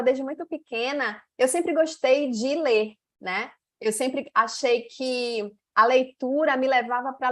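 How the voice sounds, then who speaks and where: A middle-aged woman from Salvador with a Bahian accent, giving an online masterclass to women, checking in often with little tag questions and pausing as she goes. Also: on the high side, fairly bright tone. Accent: Bahian Brazilian Portuguese. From Salvador, Bahia, Brazil. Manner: frequent "né" and "tá" tags, pauses and drawn-out stretches. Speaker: women's mentor.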